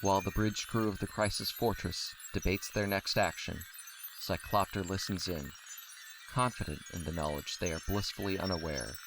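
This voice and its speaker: A man's voice: sits low, steady tone, moderate pace. A man reading scripted narration for an audio drama. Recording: clearly noisy recording.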